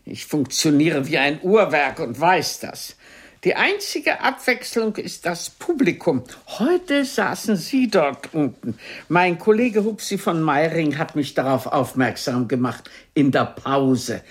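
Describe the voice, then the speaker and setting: Slow, swooping, imperious old stage actress. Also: female voice, low voice, expressive, husky, exaggerated voice. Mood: proud, mocking. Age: older. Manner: haughty, theatrical, formal, biting. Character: imperious aging stage actress, sharp-tongued and mocking. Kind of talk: radio drama dialogue.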